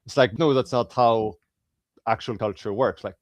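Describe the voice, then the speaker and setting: A man co-hosts a casual live-stream chat and explains his views at length in a reflective way. His voice sits at a middling pitch, and the sound is dry and close, with no room echo. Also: normal speed.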